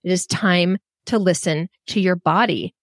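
A middle-aged woman telling a personal story in a frank, animated and self-deprecating way. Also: fairly low pitch, unhurried, no room sound, clean studio sound. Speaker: storyteller guest.

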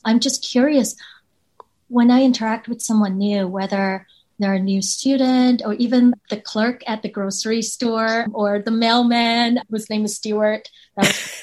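Kobieta z tajwańskim akcentem, refleksyjna. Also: female voice, a little high, rough recording. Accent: Taiwanese. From Taiwan. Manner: earnest, warm, builds points with rhetorical questions. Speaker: guest, professor. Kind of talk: reflective interview answers.

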